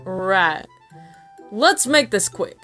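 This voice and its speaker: A woman's voice: medium pitch, lively intonation, drawn-out words, pushed and bright, faint music behind. A woman recording outtakes as an amateur voice actor, giggly, self-mocking and prone to swearing between retakes. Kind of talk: recording session outtakes, flubbing and retaking lines.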